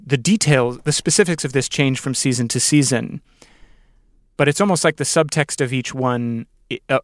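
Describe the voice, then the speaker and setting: A man's voice: mid-range voice, no room sound, clean studio sound. A man hosting a podcast interview, musing earnestly and reflectively in a conversational way.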